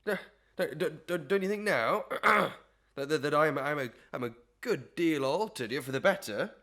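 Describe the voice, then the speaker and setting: A man's voice: on the high side, expressive, normal speed, bright, forceful tone. A man playing a pompous, stammering comic beadle who talks in flowery phrases, in a Victorian melodrama. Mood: preening. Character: pompous, lovestruck comic beadle with genteel pretensions. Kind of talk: Victorian melodrama audio acting.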